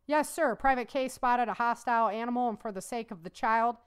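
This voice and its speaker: A woman's voice: a little high, fairly quick, smooth, natural voice. A woman voicing a friendly young male army corporal in a read-aloud story. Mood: dutiful. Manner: friendly, upbeat.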